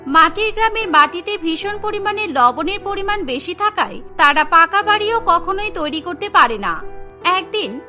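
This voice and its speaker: A woman's voice: very high voice, animated, moderate pace, bright, forceful tone. A woman narrating a scripted cartoon story. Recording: music behind the voice. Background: music.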